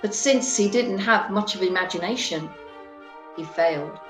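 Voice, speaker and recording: A woman narrating an amateur radio play in a dramatic, deliberate storytelling manner. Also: mid-range voice, a bit bright, roomy sound, faint music behind.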